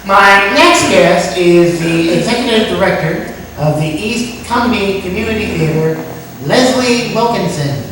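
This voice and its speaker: A woman playing a self-important male talk show host obsessed with birds, pompous and deliberate, in old-time radio comedy style. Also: medium pitch, animated, close to an everyday voice. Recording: echoey room, distant mic, faint noise.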